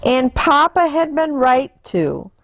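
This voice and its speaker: A woman reading a children's picture book aloud in an animated, deliberate storytelling manner. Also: high-pitched. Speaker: storytime reader.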